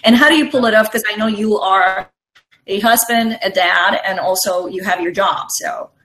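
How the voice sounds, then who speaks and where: A woman's voice: mid-range voice, normal speed, fairly bright tone, poor audio. A woman from Rico, co-hosting an interview in a polite, slightly hesitant way. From Puerto Rico. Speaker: co-host. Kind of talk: casual interview chat.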